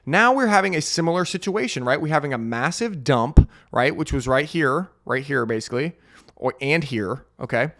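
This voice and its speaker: Male crypto vlogger, a bit sick and nasal, lively swooping delivery, pumped and sarcastic. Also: a little high. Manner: hyped, sarcastic, fast-talking. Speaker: crypto YouTuber. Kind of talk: excited trading chart walkthrough.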